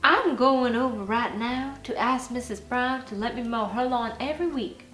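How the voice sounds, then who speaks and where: Woman voicing an eager, high-pitched little boy. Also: moderate pace, fairly bright tone, lightly acted. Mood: eager, determined. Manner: earnest, exclamatory childlike talk. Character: eager, earnest young boy longing for a toy train. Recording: roomy sound with faint music behind.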